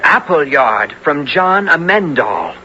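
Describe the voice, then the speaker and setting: Bold young squire, earnest, higher-pitched and lively, vintage radio adventure play. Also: male voice, takes it slowly, exaggerated voice. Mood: alarmed. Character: eager, bold young English nobleman's ward, medieval swordsman. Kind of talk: 1970s radio drama acting. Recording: dull, faintly noisy vintage radio recording.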